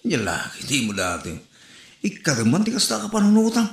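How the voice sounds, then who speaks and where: A man's voice: fairly high pitch, huge pitch swings, a little fast, gravelly, full character voice. A man with an Ilocano accent playing a teasing, advice-giving older father in a comedy audio drama. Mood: chiding. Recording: roomy echo, music underneath.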